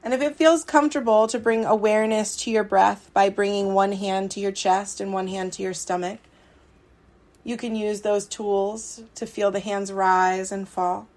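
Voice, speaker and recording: Woman guiding meditation in an even tone. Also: medium pitch. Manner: soothing, unhurried, with long pauses between short phrases. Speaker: meditation guide, yoga teacher. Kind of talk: guided yoga nidra meditation.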